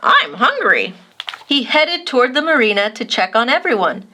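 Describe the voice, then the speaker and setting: A woman narrating a children's storybook as host, expressive and speaking directly to young listeners. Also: high-pitched, brisk. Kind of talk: animated read-aloud narration for children.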